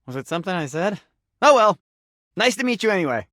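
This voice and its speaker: Very animated, geeky game writer. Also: male voice, high voice, fast talker, dry recording, studio quality. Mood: playful, chatty. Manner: chatty, enthusiastic, informal. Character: friendly, geeky, playful male game writer. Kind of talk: video game character voice acting.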